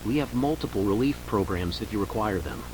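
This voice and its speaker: A man's voice: lowish voice, animated, lightly acted. A man playing a composed, formal investigator interviewing a witness in an analog horror audio drama, over hum and hiss. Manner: formal, measured, official.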